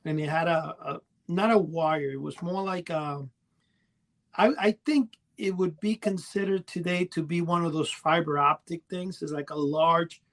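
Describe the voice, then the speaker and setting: A man with a distinctly high voice talks as a podcast co-host. He explains things in a casual, meandering way, often backing up to correct his own words. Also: fairly even intonation, measured pace, a bit bright. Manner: rambling, self-correcting.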